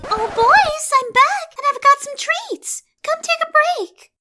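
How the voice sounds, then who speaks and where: A woman's voice: very high voice, expressive, slow, over loud music, full character voice. A woman playing a sweet, bubbly, gracious young princess in a cartoon comic dub, sugary, polite and girlish. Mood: welcoming.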